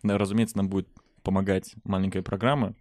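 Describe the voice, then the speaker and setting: Low-voiced man hosting a dry studio podcast. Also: flat delivery, fast talker, darkish tone, no room sound. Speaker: podcast host. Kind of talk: casual podcast chat.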